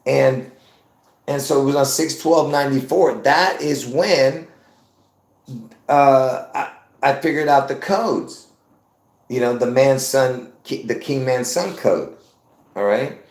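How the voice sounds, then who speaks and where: A man in his fifties running a live stream, circling back and repeating phrases as he presses his point on viewers. His voice sits at an ordinary middle pitch for a man. The room gives everything a noticeable echo. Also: slow, long pauses. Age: 50s. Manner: repetitive, insistent, addresses viewers as folks. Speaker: livestream host. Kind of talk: rambling live-stream monologue. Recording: roomy echo.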